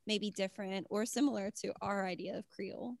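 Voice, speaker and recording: A woman's voice: medium pitch, steady tone, a little fast, gravelly, dry recording, studio quality. Woman student from Louisiana, conversational. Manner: conversational, frequent affirming "mm-hmm". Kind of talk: casual discussion.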